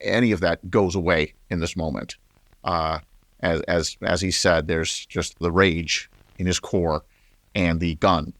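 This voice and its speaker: A man playing an earnest author on a late-night radio interview, explaining in a halting, deliberate, emphatic way. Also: low voice, fairly bright tone, no room sound, clean studio sound, full character voice. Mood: grave, deliberate. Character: earnest male author explaining his shocking book. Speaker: interview guest.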